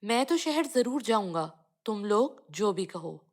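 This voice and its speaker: A woman's voice: medium pitch, big pitch swings, a little slow. A woman voicing a curious, stubborn young village boy in a children's cartoon story, with an insistent, questioning manner. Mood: defiant.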